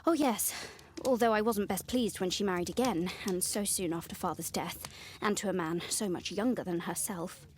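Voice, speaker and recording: A woman's voice: medium pitch, moderate pace, hardly any pauses, a little mellow, slightly rough, close to an everyday voice. An actress playing an earnest young Victorian lady in a video game, with a British accent and polite, formal speech. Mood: earnest, confiding. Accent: British English. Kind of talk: video game dialogue acting.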